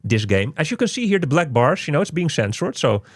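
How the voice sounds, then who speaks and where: A man's voice: a little high, very animated, brisk, no room sound, studio quality. A man co-hosting a casual game review chat, rambling and joking with many restarts. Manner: rambling, jokey, self-interrupting. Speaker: co-host of a game review show.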